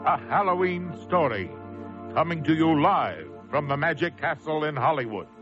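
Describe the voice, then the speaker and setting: A man's voice: a little high, animated, moderate pace. A man announcing a radio drama in a formal, portentous style as the program's announcer. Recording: muffled radio broadcast under loud music.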